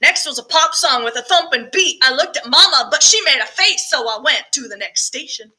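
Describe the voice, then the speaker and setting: Very bright, high-voiced woman narrating. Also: animated, a little fast. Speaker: audiobook reader, choir director. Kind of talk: expressive first-person story narration.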